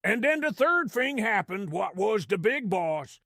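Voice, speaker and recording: Gravelly high-pitched Cockney grot. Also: male voice, very animated, a little slow, sharp tone, no room sound, clean studio sound, full character voice. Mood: awed. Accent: Cockney-style English. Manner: wry, conspiratorial, streetwise, ungrammatical slang. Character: small cunning cowardly male grot narrator, wry storyteller. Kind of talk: in-character audio drama storytelling.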